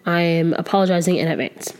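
A woman's voice: lowish voice, quick pace, a little mellow. Chatty young woman, a bit nasal. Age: 20s. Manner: rambling, many ums and restarts, candid. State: slightly sick, a little nasal. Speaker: podcast host. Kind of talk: casual solo podcast chat. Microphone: close to the microphone.

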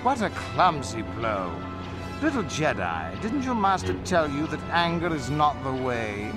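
Intense, high-pitched vengeful dark-side man. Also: sing-song, a little slow, over loud music. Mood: menacing. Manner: theatrical, intense. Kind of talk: audio drama acting.

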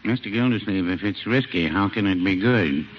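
An actor playing a mild, cautious older small-town druggist, folksy and dry, in a 1950s radio comedy. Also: male voice, low voice, moderate pace, close to an everyday voice. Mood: deadpan. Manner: folksy, deadpan, hesitant. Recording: noisy, dull 1950s radio recording.

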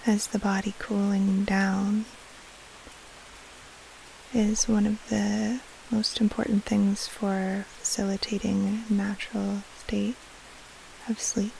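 A woman guiding a sleep meditation in a soft, breathy half-whisper, soothing, with long pauses between short phrases. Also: medium pitch, little pitch movement, rough recording. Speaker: meditation guide, podcast host. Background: soft rain-like hiss.

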